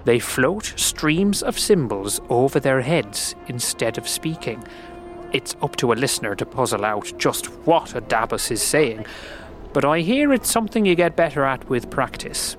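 A man's voice: mid-range voice, sing-song, normal speed, soft background music, close to an everyday voice. A man acting an earnest, friendly slum local. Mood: earnest, informative. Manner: folksy, hesitant, calls listener friend. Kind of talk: fantasy audio drama acting.

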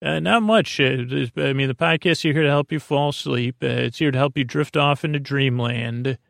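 A man hosting a sleep podcast in a creaky voice, meandering and halting through a silly improvised bedtime ramble. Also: mid-range voice, a bit bright, no echo, studio quality.

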